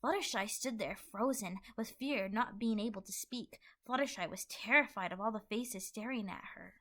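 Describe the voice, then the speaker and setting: A woman's voice: medium pitch, normal speed, bright, forceful tone. A woman giving scripted storybook narration as the narrator of a fan fiction audio play.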